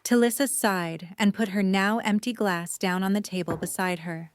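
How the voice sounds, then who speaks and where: A woman's voice: mid-range voice, fairly even intonation, normal speed, no echo, studio quality. A woman reading scripted story narration for an audio drama.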